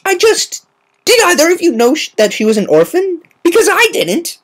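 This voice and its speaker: A troubled young female pony in a story reading, put on by a woman. The pitch jumps well up and swings around. She is brash and blunt, agitated and halting as she talks. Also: huge pitch swings, exaggerated voice. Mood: distressed, flustered.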